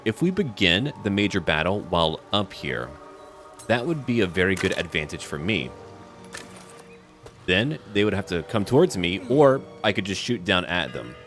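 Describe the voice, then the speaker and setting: Deep-voiced man chatting through a game. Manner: thinks aloud, chatty and relaxed, talks to party members. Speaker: gamer playing a role-playing game. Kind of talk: casual let's-play commentary.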